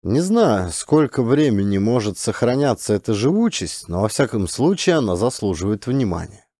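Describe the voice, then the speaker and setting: Mellow, expressive male audiobook narrator. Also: lowish voice, normal speed, no echo, clean studio sound. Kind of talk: scripted literary narration.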